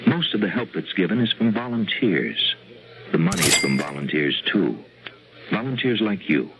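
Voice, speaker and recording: A man reading a scripted radio public service announcement in a solemn, deliberate manner. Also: low voice, animated, loud music behind the voice. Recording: dull, noisy old radio recording.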